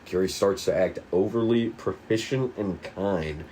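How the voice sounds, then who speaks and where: A man reading a script's stage directions at a table read, casual and halting, with asides. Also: deep voice, warm tone, slight background noise, rough recording. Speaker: script reader.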